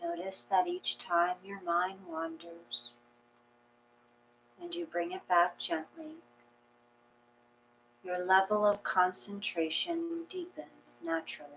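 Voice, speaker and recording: A woman reading a guided meditation in a gentle, measured, calming way. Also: medium pitch, poor audio. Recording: clear mains hum.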